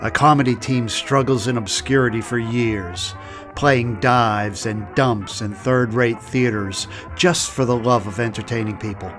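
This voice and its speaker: A man narrates a scripted radio-history documentary in measured, dramatic beats, at a middle pitch. Steady music plays clearly behind his voice. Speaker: podcast host.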